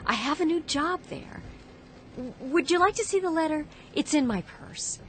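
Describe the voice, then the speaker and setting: A woman's voice: high-pitched, animated, moderate pace, a bit bright. An actress playing a distraught, pleading yet defiant young woman traveler in a radio drama. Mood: defensive, pleading. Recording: music bed under radio drama.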